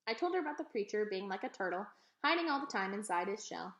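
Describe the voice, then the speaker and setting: A woman reading a children's novel aloud in an expressive storytelling style. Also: medium pitch, fast talker. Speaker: read-aloud reader. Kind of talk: expressive children's book narration. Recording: echoey, roomy sound.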